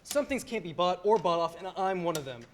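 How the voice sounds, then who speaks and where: A man's voice: high-pitched, lively intonation, a little fast. A young man playing an earnest, frustrated young husband in a radio play, often hesitant and stammering. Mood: defiant. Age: young adult. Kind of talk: filmed radio play acting. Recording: faint background noise.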